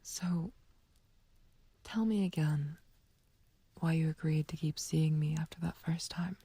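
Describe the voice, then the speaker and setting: A woman playing a flirtatious, taunting villain in an ASMR roleplay, speaking softly and breathily in a teasing, coaxing, languid way. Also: low voice, steady tone, unhurried, full character voice. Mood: intimate, playful. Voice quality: breathy, whispery, soft. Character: flirtatious, taunting female villain sweet on a captive friend. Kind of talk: ASMR roleplay, intimate scripted acting.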